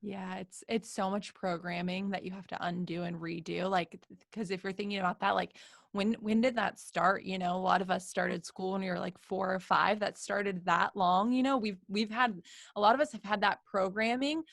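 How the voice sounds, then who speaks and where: A woman's voice: medium pitch, steady tone, normal speed, gravelly, a bit bright, no room sound. Upbeat woman podcast host, dry sound. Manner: upbeat, encouraging, frequent "right?" tags. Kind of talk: casual interview chat. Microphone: video call.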